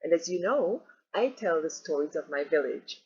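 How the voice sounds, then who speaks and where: A woman from Guyana hosting an interview, telling a story and welcoming her guests with lively exclamations. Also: sits low, moderate pace, slightly rough. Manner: expressive, rising exclamations, many short backchannels. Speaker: host and interviewer. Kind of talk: warm storytelling introduction and interview. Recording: dull lo-fi sound.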